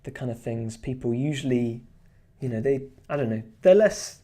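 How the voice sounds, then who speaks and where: A man's voice: medium pitch, expressive, normal speed, warm tone. A man chatting casually while drawing on a live stream, rambling with lots of fillers and restarts. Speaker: streamer and artist.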